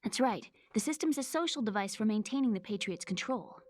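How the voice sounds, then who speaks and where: A woman's voice: medium pitch, lively intonation, fairly quick, darkish tone, close to an everyday voice. A woman playing a young computer programmer in a video game cutscene, bitter yet matter-of-fact, with precise delivery. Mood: matter-of-fact, explanatory. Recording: game music behind the voice.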